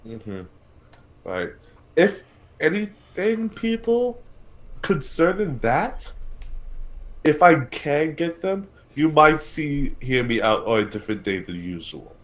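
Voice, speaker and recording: A man hosting a casual podcast livestream about films and games, talking haltingly and repeating words as he thinks aloud. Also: fairly high pitch, big pitch swings, a bit bright, low-quality recording.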